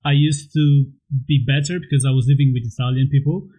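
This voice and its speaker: A man's voice: mid-range voice, fairly quick, low-quality recording. A man from Portugal speaking English with a Portuguese accent, hosting a casual interview with easy laughs and short encouraging replies. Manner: relaxed, laughs easily, brief encouraging replies.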